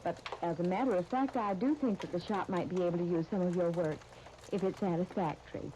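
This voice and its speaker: An actress playing an earnest, courteous dress-shop owner in a 1930s radio soap, speaking in a polite, measured, slightly hesitant way. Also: female voice, lowish voice, mellow, close to an everyday voice. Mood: polite, careful. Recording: old 1939 radio disc with faint crackle.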